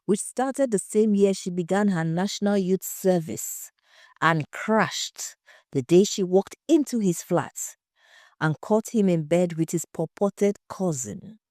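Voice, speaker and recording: A woman narrating a scripted story in a measured, dramatic way. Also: fairly low pitch, darkish tone, no echo, studio quality. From Nigeria.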